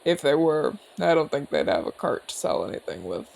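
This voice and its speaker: A man's voice: a little high, big pitch swings, a little fast, gravelly, darkish tone, exaggerated voice. A man playing a seasoned, cocky but easygoing orc commander in an audio roleplay, talking in a casual, teasing, explanatory way. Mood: confident, explaining. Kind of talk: ASMR audio roleplay acting.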